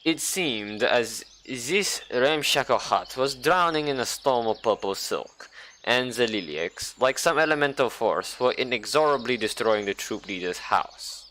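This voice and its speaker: A young man reading a visual novel aloud, with playful, sarcastic asides and mock-dramatic slowdowns. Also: medium pitch, lively intonation, pushed and bright, a little hiss or hum. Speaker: game streamer.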